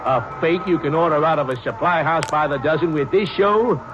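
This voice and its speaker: Slick carnival showman, voiced by a man, grand, wordy, slightly high, muffled old radio sound. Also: fairly quick, lightly acted. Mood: smooth. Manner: grandiloquent, with a hesitant uh. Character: smooth-talking male sideshow showman, evasive.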